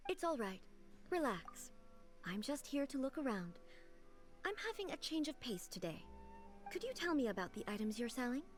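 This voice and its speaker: A woman playing a serene, regal female ruler in a video game, composed, formal and curious, with gentle authority. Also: sits high, big pitch swings, normal speed, pauses often, faint music behind. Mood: calm, curious.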